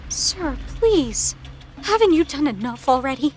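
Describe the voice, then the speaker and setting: Meek sheep-girl servant for audio drama, played by a woman: high, soft, deferential, pleading, faint music underneath. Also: big pitch swings, measured pace. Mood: anxious pleading.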